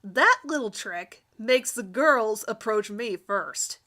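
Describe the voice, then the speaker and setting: A woman doing an amateur dramatic reading of a first-person story in an expressive, theatrical manner. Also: on the high side, slow pace. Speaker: amateur reader of her own fan fiction.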